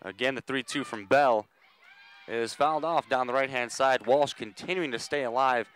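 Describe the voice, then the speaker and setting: A man calling a softball game live on the radio, measured between plays and ready to burst into excited calls. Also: mid-range voice, slight background noise. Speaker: play-by-play sports commentator.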